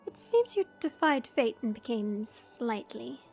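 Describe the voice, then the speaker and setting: Woman playing a high-voiced, stern, proud warrior woman. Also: big pitch swings, gravelly, a little mellow, soft background music, exaggerated voice. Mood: dry, teasing. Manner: measured, formal, dry delivery. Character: stern, proud warrior woman Iron, blunt but caring. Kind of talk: fantasy audio roleplay acting.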